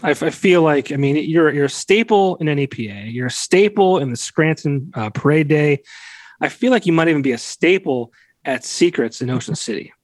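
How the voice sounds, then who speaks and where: A man's voice: fairly high pitch, expressive, moderate pace, dry recording. A man in his late thirties hosting a podcast, joking and blunt, with casual swearing in loose chat. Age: 30s. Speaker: podcast host.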